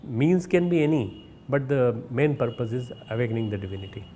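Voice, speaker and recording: Indian-accented man, mellow spiritual talk. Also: mid-range voice, a little fast, slight background noise. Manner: hesitant, repeats and restarts words; earnest, instructive sermon tone. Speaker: spiritual leader. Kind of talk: recorded spiritual message.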